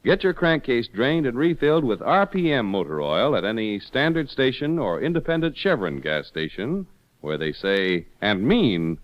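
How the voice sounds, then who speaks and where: A male radio announcer reading ads and credits in a smooth, salesmanlike manner. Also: mid-range voice, very animated, moderate pace. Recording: dull old radio broadcast with music.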